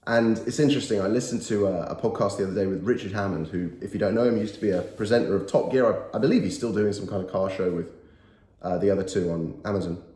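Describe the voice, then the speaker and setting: A man's voice: lowish voice, fast, mellow. Nasal man in an echoey room. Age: 30s. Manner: earnest, emphatic, repeats key phrases. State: nasal, recovering from a cold. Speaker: motivational YouTuber. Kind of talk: motivational talk to camera. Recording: roomy echo.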